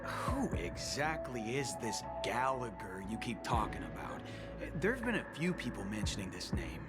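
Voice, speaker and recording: An actor playing a weary, stubborn investigator guarding a cordon in a video game, officious and exasperated. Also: male voice, lowish voice, sing-song, moderate pace, loud music behind the voice.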